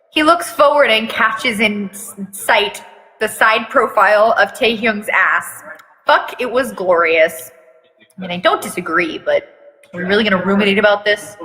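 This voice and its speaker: Bright-voiced woman reading dramatically in long reverb. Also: on the high side. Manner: theatrical, sarcastic. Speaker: reader of fan fiction with commentary. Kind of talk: dramatic storytelling with joking asides.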